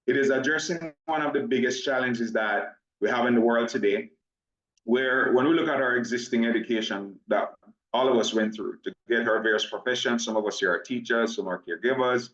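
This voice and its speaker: Jamaican man pitching on a video call. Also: a bit bright. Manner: measured, emphatic, repeats points. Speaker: presenter of a business opportunity. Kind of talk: online sales presentation. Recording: roomy dull video-call audio.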